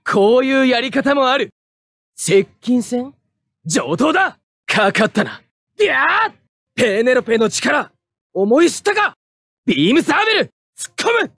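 A man playing a proud, hot-headed young mecha pilot, barking short forceful attack calls in an anime fighting game. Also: very high voice, big pitch swings, a little slow, gravelly, long pauses, no echo, studio quality, full character voice. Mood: cocky.

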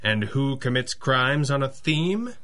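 A confident masked crime-fighter of old-style radio drama. His mid-range voice is measured and deliberate, with a dry, wry edge. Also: mid-range voice, big pitch swings, pushed and bright, heavily acted. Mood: cool, analytical. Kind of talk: 1930s-style radio adventure acting.

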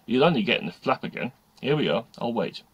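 A man's voice: medium pitch, lively intonation, fast, husky, no echo, lo-fi sound. A man voicing a brash, streetwise schoolgirl who talks back in a blunt, scornful, colloquial way.

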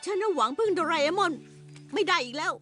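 A woman's voice: very high-pitched, animated, quick pace, a bit bright, soft background music. A woman voicing a whiny, sulky young schoolboy in a Thai cartoon dub. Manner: whiny, complaining.

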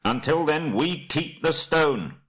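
A man's voice: medium pitch, normal speed, a bit bright, voice pushed up, natural voice. A man voicing a bold, firm leader of men in an audiobook, forthright and challenging.

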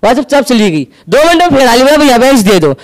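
A young man with a Haryanvi accent doing stand-up storytelling on an indoor stage into a handheld mic, acting out quick back-and-forth dialogue. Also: very high-pitched, very animated. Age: young adult. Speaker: stand-up comedian. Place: indoor stage with curtain backdrop.

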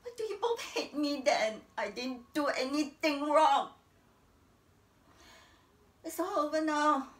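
An old, domineering Singaporean widow tells her life as a theatrical monologue. Her voice sits fairly high and swings widely in pitch as she moves between narration and bitter drama. It rings in an echoey, stage-like space. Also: expressive, slow, long pauses. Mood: wounded, defensive. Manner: theatrical, swinging from hushed narration to indignant outbursts. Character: embittered, domineering old Chinese matriarch, widow and grandmother. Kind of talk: dramatic stage monologue, acting out remembered scenes. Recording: roomy, echoing, distant stage-like sound.

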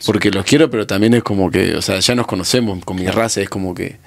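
A man in his thirties with an Argentine accent, a podcast guest telling a story in a relaxed, casual way with many restarts. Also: low voice, fast talker, vocal fry. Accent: Argentine Spanish. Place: small padded podcast studio. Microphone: studio mic on boom arm.

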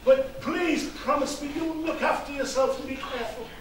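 A man's voice: extremely high pitch, normal speed. An actor playing a fussy, protective king and henpecked father in a stage pantomime, projecting theatrically. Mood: concerned. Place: theatre stage, recorded from afar. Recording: echoey theatre, far mic, noisy.

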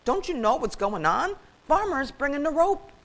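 A flustered old grandfather turkey from a storybook, acted by a woman. He gobbles and hollers his scoldings in a loud, high, excitable voice. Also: normal speed, exaggerated voice. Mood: frantic, scolding.

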